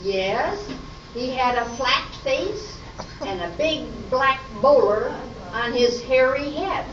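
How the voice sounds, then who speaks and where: A chatty, theatrical comedy lady acted by a woman in an amateur radio play. Her delivery is high, slow and very animated, heard at a distance in an echoey room. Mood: dramatic. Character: dramatic, chatty women: fussy diner, gossipy witness. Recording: noisy echoey room, distant muffled mic.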